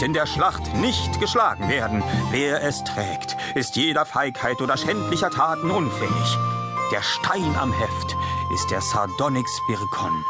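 Storybook role: male narrator as earnest, proud knight, courtly and solemn, raised pitch, music behind. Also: lightly acted. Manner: solemn, courtly, archaic phrasing.

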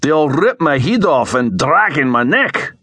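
A man's voice: medium pitch, unhurried, no room sound, lightly acted. A man voicing a grumbling Scottish soldier in an audiobook story, exclaiming colourfully with a Scottish accent. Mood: aghast. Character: gruff Scottish sergeant, loyal, grumbling, aghast at danger.

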